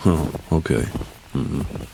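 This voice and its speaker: An actor playing a gruff older mountain man in an audio drama, a blunt, folksy combat mentor who teases dryly. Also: takes it slowly, dark tone, a little hiss or hum, exaggerated voice, poor audio. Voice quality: deep, gravelly.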